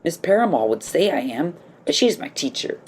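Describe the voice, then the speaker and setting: A woman playing a bright, modest young boy in an audio drama, polite and questioning, thinking aloud. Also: sits low, very animated, normal speed, breathy edge, full character voice. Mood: puzzled, pondering. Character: bright, modest, earnest orphan boy taking a test.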